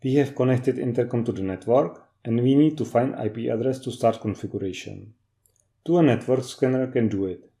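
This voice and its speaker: Man with a very dark, mellow voice. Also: mid-range voice, normal speed, vocal fry. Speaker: technical trainer. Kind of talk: scripted instructional narration.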